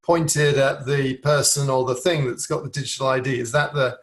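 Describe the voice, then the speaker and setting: A man's voice: mid-range voice, steady tone, moderate pace. A man hosting a tech podcast, welcoming guests and asking questions in an upbeat manner. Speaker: podcast host. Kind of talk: podcast interview.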